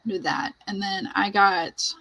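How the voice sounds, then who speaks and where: A woman's voice: medium pitch, slow pace, vocal fry, bright, forceful tone. A woman hosting a casual writing livestream, talking in a chatty, informal way full of "like". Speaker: livestream host.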